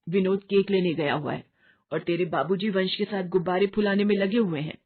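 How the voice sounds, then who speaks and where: A woman's voice: medium pitch, fairly quick, slightly rough. Woman acting scolding older mother-in-law, muffled sound. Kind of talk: cartoon moral-story dialogue. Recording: muffled, narrow phone-like band.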